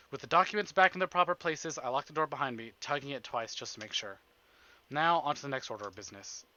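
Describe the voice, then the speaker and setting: A man's voice: medium pitch, sharp tone, lo-fi sound. A man livestreaming a visual novel, reading the narration expressively and breaking into theatrical, exasperated asides. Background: game music.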